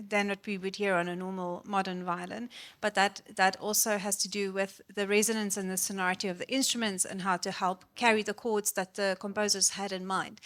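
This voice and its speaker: A woman with a South African accent speaks in a bright, even voice. She explains her craft patiently and with easy good humour at a festival talk. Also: medium pitch. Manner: relaxed, explanatory, light laughs. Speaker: baroque violinist.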